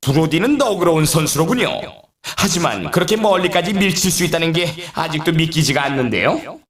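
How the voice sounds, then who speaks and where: A man playing an excitable snowboard race announcer in a video game, with polite, dramatic broadcast delivery. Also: a little high, a little fast, crowd noise, lightly acted. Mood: dramatic warning. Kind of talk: scripted sports game commentary. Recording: roomy echo, distant from mic.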